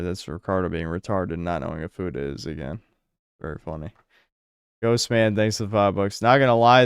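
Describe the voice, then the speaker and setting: A young man from the United States with a low, smooth, resonant voice, reading donation messages aloud and answering chat. He talks bluntly and crudely, with a sarcastic edge. The sound is dry and close, with no room echo. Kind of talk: casual stream chat.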